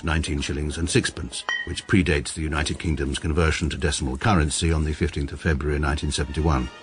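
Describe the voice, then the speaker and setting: An actor playing a middle-aged lawyer narrating his own story in precise, deadpan radio-comedy style. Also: a bass voice. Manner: precise, dry, pedantic. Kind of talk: dry deadpan first-person narration.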